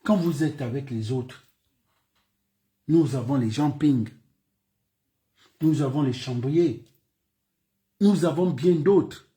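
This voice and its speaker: A man's voice: fairly high pitch, slow, pauses often, dark tone. A man from Gabon with a Gabonese French accent, giving an impassioned political speech full of repeated phrases and rhetorical questions. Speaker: political commentator.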